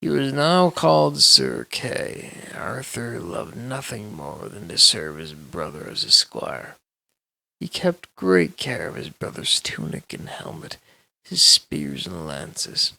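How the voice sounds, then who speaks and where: A man reading a bedtime story aloud as a storyteller, with measured, dramatic delivery. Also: lowish voice, animated, gravelly, pushed and bright, no echo, low-quality recording.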